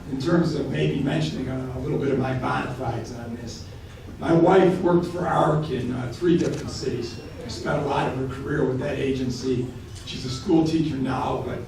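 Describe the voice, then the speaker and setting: Gravelly man speaking in an echoing hall. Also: on the high side, a little hiss or hum. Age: late 50s. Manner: storytelling, halting with repeated words, growing excited. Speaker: keynote speaker. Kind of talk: speech at a banquet. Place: large hotel banquet hall. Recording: echoey hall from far off.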